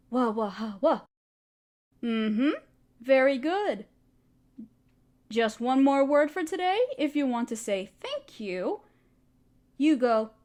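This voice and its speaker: A woman voicing a gentle, protective, motherly spider queen in an audio roleplay, speaking softly, patiently and reassuringly. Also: high voice. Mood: patient teaching. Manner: soft, patient, doting and reassuring.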